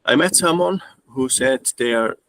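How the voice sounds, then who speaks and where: A man's voice: mid-range voice, lively intonation, moderate pace, a bit bright. A man, speaking as a guest in a casual interview, hesitant with frequent restarts. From Austria. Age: 30s. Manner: hesitant, restarts often, non-native phrasing. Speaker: guest trading student.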